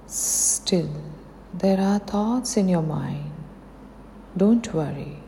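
A woman's voice: on the low side, animated, extremely slow, dark tone. A woman with an Indian accent leading a guided meditation, giving soothing instructions in short phrases. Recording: long hall reverb, faint background noise.

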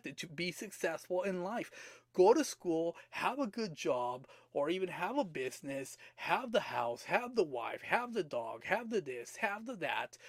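Hoarse motivational podcast man. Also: high-pitched, no echo. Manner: earnest, repeats rhetorical questions to the listener. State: slightly hoarse from a cold. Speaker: podcast host.

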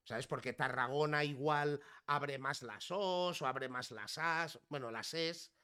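A man's voice: a little high, slow, clean tone. A man from Catalonia talking casually on a podcast, rambling and tagging his sentences with questions for the listener. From Catalonia, Spain (Girona). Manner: relaxed, rambling, peppers speech with "¿sabes?" and "tío", swears casually. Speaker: podcast conversation participant. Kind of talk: casual chat.

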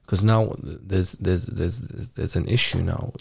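A man interviewing a guest in casual conversation, talking haltingly with many restarts and fillers. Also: sits low, fairly even intonation, vocal fry, husky.

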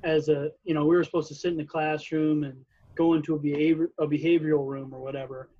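A man's voice: on the high side, level tone, fast, dark tone, highs cut off. A man, a coach, talking casually and reflectively, with many "you know"s. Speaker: wrestling coach. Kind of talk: casual conversation.

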